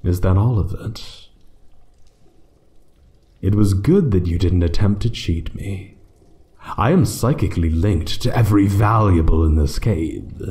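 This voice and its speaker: A man voicing an ancient, playful yet menacing dragon in a fantasy ASMR roleplay, speaking theatrically and condescendingly, sometimes hushed. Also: low voice, unhurried, long pauses. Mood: cold, commanding. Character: ancient male dragon, playful, menacing hoard guardian toying with intruder.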